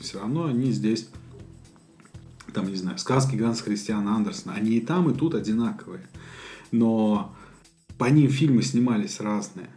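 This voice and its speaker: A man from Russia co-hosting a podcast, talking in a thoughtful, halting way with many fillers. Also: fairly low pitch, warm tone. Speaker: podcast co-host. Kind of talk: casual conversation.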